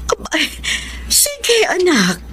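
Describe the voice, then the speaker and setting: A woman's voice: very high voice, huge pitch swings, drawn-out words, a bit bright, heavily acted. A woman acting in a Filipino radio drama as a supportive, earnest mother and dutiful daughter. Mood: encouraging, caring. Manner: earnest, encouraging.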